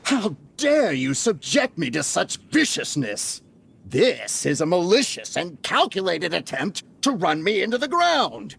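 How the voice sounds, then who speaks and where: Casting: a woman playing a sputtering greedy merchant, low gravelly voice, slow and swinging in pitch. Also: sing-song, heavily acted. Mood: indignant. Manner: indignant, huffing, gravelly. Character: pompous greedy older merchant man, blustering. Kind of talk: game cutscene voice acting.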